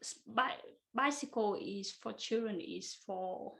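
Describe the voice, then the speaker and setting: A middle-aged woman from Vietnam with a Vietnamese accent, a guest who answers in a halting way and laughs often. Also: mid-range voice, creaky, a bit bright. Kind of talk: casual interview chat. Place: office.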